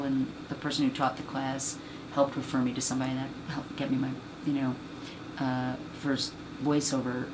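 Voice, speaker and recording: A woman with a husky, low voice, chatting as an interview guest about her acting career, rambling and filling gaps with "you know.". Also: background noise. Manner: rambling, many fillers. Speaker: actress and voice actor guest. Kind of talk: casual interview chat.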